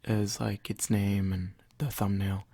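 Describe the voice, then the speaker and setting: A man's voice: on the low side, level tone, a little slow, vocal fry, dark tone, a little hiss or hum. A young man chatting casually and unscripted to his viewers, in a hesitant, apologetic, rambling way. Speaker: ASMR video creator introducing a roleplay.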